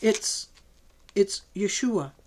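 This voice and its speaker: A woman's voice: mid-range voice, normal speed, slight background noise, voice pushed up, natural voice. A man reading an audiobook voices a desperate young woman who pleads through sobs and stammers. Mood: tearful, pleading. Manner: sobbing, stammering pleas.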